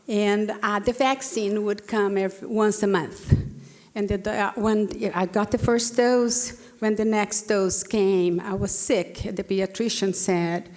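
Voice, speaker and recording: A woman's voice: medium pitch, fairly even intonation, a little slow, darkish tone. A woman in her sixties from Brazil with a Brazilian Portuguese accent, telling a personal story as a panelist, earnestly, with fillers and restarts. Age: 60s. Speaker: panelist speaker. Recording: long hall reverb.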